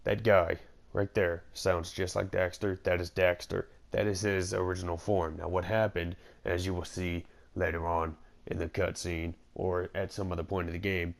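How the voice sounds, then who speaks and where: Male gaming commentator: very low, gravelly voice, informal rambling delivery, game music faintly behind. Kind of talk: casual gameplay commentary.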